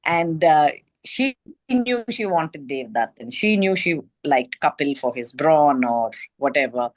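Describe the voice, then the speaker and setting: A woman's voice: low-pitched, expressive, measured pace, no echo. A woman with an Indian accent sharing her opinions in an informal group discussion as one of the participants. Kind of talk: informal book club discussion.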